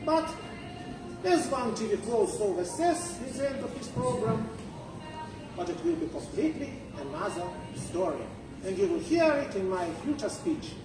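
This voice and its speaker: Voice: medium pitch, big pitch swings, moderate pace. Theatrical storyteller in a roomy, distant recording. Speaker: storytelling contestant at a speech club. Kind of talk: dramatic storytelling speech. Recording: roomy distant pickup with music behind. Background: music.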